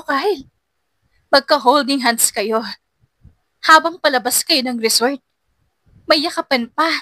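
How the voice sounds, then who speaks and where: Lady doing a betrayed young woman in audio drama, super high n sharp, yelling accusations, heartbroken. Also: no echo. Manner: shouting, accusing, anguished.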